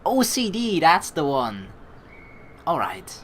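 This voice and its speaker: A young woman reading a visual novel aloud with joking, swearing asides, animated and quick to laugh. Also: sits low, slight background noise. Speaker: let's play streamer reading a visual novel.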